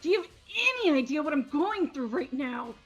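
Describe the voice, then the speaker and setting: A woman's voice: sits high, animated, a little fast, highs cut off, faint music behind. A woman voicing an insecure, paranoid teenage girl from a video game, with indignant, stammering outbursts. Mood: angry, panicked. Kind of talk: amateur voice acting of a video game script.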